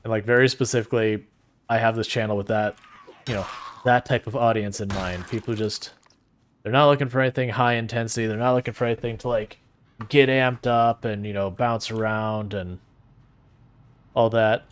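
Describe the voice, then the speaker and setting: Soft-spoken man with a soothing voice. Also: lowish voice, moderate pace, clear, resonant tone. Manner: relaxed, rambling, often restarting sentences. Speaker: game streamer. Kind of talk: casual chat with stream viewers.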